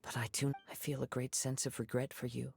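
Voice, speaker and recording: A young wandering swordsman from a video game, principled and composed. His voice sits at a middle pitch, and his words come out measured and formal, with a reflective turn. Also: level tone, creaky, slightly rough. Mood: composed, solemn.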